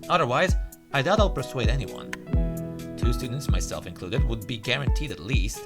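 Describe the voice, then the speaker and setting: A man's voice: medium pitch, sing-song, moderate pace, over loud music. A man reading a visual novel aloud as game narration, with occasional asides of his own. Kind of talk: narrated read-aloud let's play with asides.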